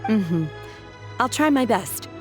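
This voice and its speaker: A woman voicing a reserved, earnest, devoted disciple in a video game, measured and restrained. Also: on the high side, animated, over music.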